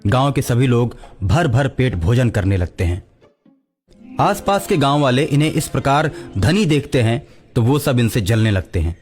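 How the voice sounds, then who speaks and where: A man's voice: mid-range voice, sing-song, moderate pace, a little mellow, soft background music. A man narrating a scripted cartoon story as the storyteller.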